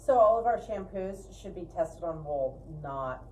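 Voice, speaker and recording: A woman's voice: fairly low pitch, animated, measured pace, warm tone. A woman chatting casually and informally as co-host of a livestream, often interjecting. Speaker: co-host of a fiber arts livestream. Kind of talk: casual chat. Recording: distant mic, faint background noise.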